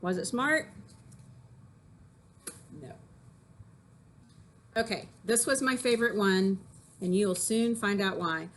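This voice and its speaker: A woman's voice: medium pitch, slow pace, long pauses. A woman teacher talking casually to children about poems, in a conversational way that puts questions to listeners. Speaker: teacher reading to pupils.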